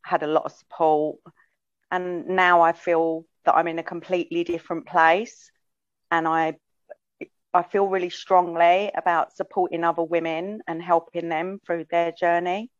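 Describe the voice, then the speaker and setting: A woman guest on a podcast sharing her personal story. She speaks softly and hesitantly, with frequent ums. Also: lowish voice, little pitch movement, normal speed, no echo, dull audio.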